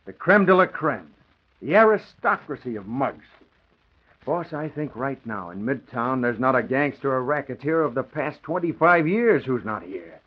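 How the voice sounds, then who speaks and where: An actor playing a brash, eager, streetwise newspaper reporter, talking in emphatic slang in a 1940s radio drama. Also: male voice, medium pitch, animated, normal speed, lightly acted. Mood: eager insistence. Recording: dull old radio recording.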